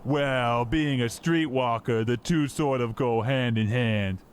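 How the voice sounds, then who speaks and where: A man's voice: mid-range voice, takes it slowly, slight background noise, natural voice. A man voicing a nagging, doting working-class mother in a comic old-time radio play. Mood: doting, firm. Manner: chiding, matter-of-fact.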